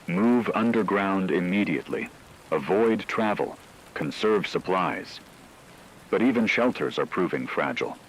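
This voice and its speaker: A man acting as a radio news anchor, delivering scripted breaking news in a grave, formal, measured manner. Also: deep voice, a little hiss or hum, rough recording. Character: grave male radio newsman reporting an apocalypse.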